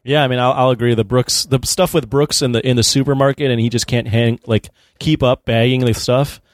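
A man's voice: mid-range voice, fairly even intonation, a little fast, no echo. A man on a podcast panel casually and earnestly arguing his opinion on films. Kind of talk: casual film discussion.

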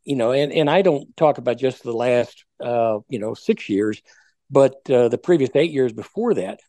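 An older American man giving conversational political commentary as a guest, in a hesitant, halting way with frequent stumbles. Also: mid-range voice, expressive, dark tone, no echo. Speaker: talk show guest commentator.

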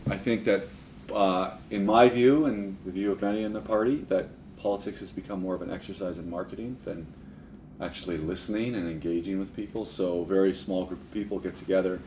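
A man's voice: sits low, fairly even intonation, fairly quick, a little mellow. A man facilitating a meeting, presenting informally to a group. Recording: roomy live recording, faint noise.

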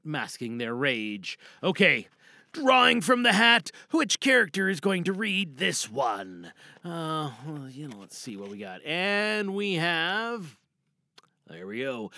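A man's voice: a little high, sharp tone, no echo, studio quality. A man hosting a podcast in a casual, off-the-cuff way, rambling and often interrupting himself. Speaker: podcast host. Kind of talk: casual off-the-cuff hosted introduction. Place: small dry booth.

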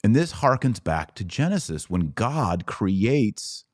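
Male podcast host, sermon-like monologue, slow deliberate delivery, swooping lively pitch, dramatic pauses. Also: no echo, studio quality.

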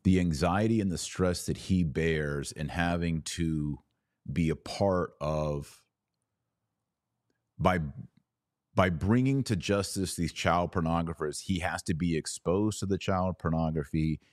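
Deep-voiced man hosting a film review. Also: measured pace, a little mellow, no echo, clean studio sound. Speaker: podcast host. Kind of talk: solo film review monologue.

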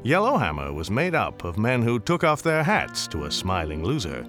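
An actor narrating a radio storybook tale of the Old West as a wry, courtly storyteller. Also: male voice, fairly low pitch, wildly swooping pitch, moderate pace. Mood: wry storytelling. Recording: music behind the voice.

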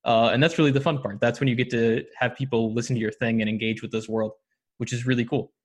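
Man, podcast guest, mid-pitched voice, eager, hesitant fillers. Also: fairly even intonation, quick pace. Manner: enthusiastic, many ums and uhs. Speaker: podcast guest, audio drama producer. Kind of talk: casual interview talk.

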